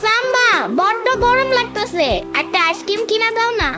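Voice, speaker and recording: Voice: extremely high pitch, moderate pace. A performer voicing a bossy, cheeky little boy in a comedy cartoon, demanding and indignant, with a Bangladeshi accent. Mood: grumbling. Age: child figure. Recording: loud music behind the voice. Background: loud music.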